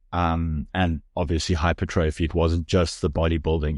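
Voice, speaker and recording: Casting note: low-pitched male podcast host, long meandering questions, dry close sound. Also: a little mellow, dry recording. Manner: asks long, rambling questions. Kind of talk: interview questions.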